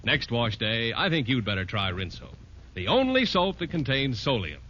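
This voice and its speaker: A male announcer with a mid-pitched, forceful voice delivers an emphatic 1940s radio sales pitch. The old recording is muffled. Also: big pitch swings, moderate pace, slight background noise.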